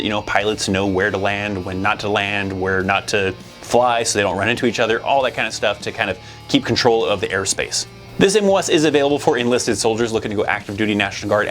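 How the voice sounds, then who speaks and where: A man's voice: medium pitch, a bit bright. A man hosting an informational explainer video in a conversational style, addressing viewers directly. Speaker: video host.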